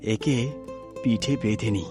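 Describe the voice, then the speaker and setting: A man's voice: lowish voice, a little slow, close to an everyday voice. A man voicing a brave, helpful young guard in a cartoon fairy tale. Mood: musing. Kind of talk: cartoon story voice acting. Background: music.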